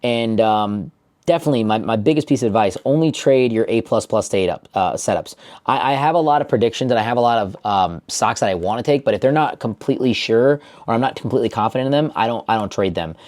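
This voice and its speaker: A man's voice: medium pitch, lively intonation, quick pace, darkish tone. A man talking off the cuff as a stock trading YouTuber, coaching listeners directly and repeating words for emphasis. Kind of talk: unscripted market commentary to camera.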